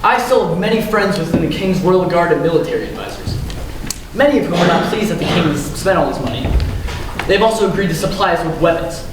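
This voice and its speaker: A young man playing a measured, well-informed former army officer in a student stage play. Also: fairly high pitch, animated, lightly acted. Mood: measured, informative. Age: young adult. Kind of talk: high school stage play acting. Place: school theatre stage, distant microphone. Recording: very echoey stage, distant, clear noise.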